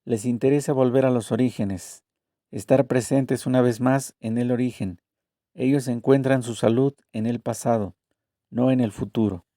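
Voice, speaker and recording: A man narrates a translated interview, taking both the interviewer's and the author's parts. His voice is very dark and mellow, and his delivery is measured and deliberate. The sound is dry and close, with no room echo. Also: mid-range voice, steady tone.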